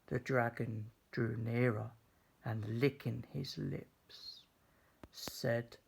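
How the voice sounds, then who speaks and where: Very slow, creaky man reading a storybook. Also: medium pitch, level tone, slight background noise. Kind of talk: children's storybook read-aloud.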